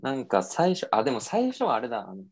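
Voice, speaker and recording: A man's voice: mid-range voice, wildly swooping pitch, fairly quick, breathy edge. A man in his twenties telling stories as a podcast guest, rambling and correcting himself in casual chat. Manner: rambling storytelling with self-corrections and laughs.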